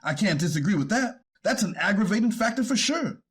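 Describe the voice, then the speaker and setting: A man playing a deferential defense attorney in a courtroom readers theater, formal and hesitant when challenged, with a husky voice. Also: high voice, very animated, a little fast, heavily acted. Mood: hesitant, conceding. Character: deferential male defense attorney pleading for his client. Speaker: actor reading a defense attorney.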